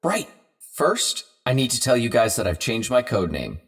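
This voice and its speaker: A man as an animated, earnest superhero inventor. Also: medium pitch, moderate pace, husky. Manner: defensive, explanatory. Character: earnest, touchy male superhero scientist, easily exasperated. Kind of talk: superhero audio drama acting.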